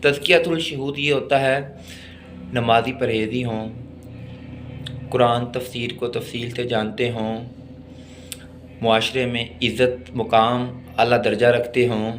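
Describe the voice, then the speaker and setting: A man with a Pakistani accent lecturing on law, deliberately reading out text and explaining it in a teacherly way. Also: medium pitch, steady tone, slight background noise. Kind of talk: exam-oriented law lesson, reading English text and explaining it.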